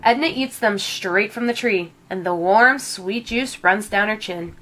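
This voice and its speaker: A woman reading a children's story aloud in a measured, expressive storytelling manner. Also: medium pitch, poor audio. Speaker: read-aloud reader.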